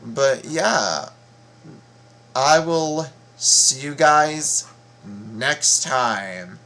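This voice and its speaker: Tired guy doing a reaction video, slow and halting, keeps repeating words, sounds half asleep. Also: medium pitch, animated, sharp tone. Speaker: video host reacting to game voice lines. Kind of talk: casual reaction commentary.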